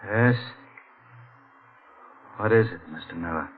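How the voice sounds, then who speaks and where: A man's voice: deep voice, slow pace, slightly rough, heavily acted. An actor playing an eccentric, volatile male composer in a 1940s-style radio mystery, with precise, polite diction and a brooding, theatrical manner. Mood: eerily polite. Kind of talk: melodramatic 1940s radio acting. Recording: tinny old radio recording with music.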